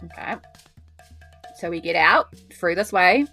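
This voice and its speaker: A woman's voice: lowish voice, animated, unhurried, gravelly, sharp tone, soft background music. A woman with a New Zealand accent playing a tabletop role-playing game, chatting casually partly in character.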